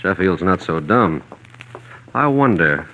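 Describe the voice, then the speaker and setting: An actor playing a shrewd private detective in a 1940s radio crime drama, asking questions in a clipped, colloquial way. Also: male voice, low voice, lively intonation, takes it slowly, narrow, tinny audio, a little hiss or hum, exaggerated voice. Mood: probing. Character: shrewd, brisk male private detective for hire. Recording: vintage 1940s radio recording.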